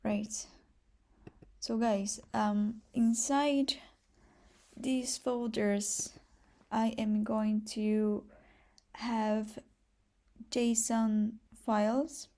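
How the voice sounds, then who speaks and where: A soft-spoken woman with a Brazilian accent, a native Portuguese speaker, giving a casual step-by-step screencast tutorial. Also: a little high, flat delivery, extremely slow, long pauses. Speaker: programming tutorial host.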